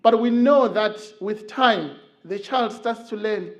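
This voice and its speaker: A middle-aged man from Zimbabwe speaks in a church, his voice sitting high for a man. He talks earnestly and tells stories, with frequent filler pauses as he searches for words. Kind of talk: talk on men's mental health. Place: church hall.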